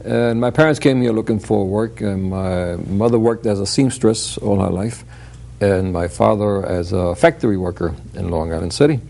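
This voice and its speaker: A man's voice: fairly low pitch, moderate pace, creaky, warm tone. An older man from Puerto Rico, a guest on a talk show, earnestly telling his life story.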